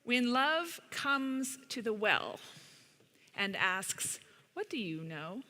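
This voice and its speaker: A woman reading a picture book aloud in an unhurried, deliberate way with pauses, in a large church space. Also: fairly high pitch, big pitch swings, sharp tone. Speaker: storyteller reading to children at a church service. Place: large indoor church sanctuary. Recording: reverberant large hall.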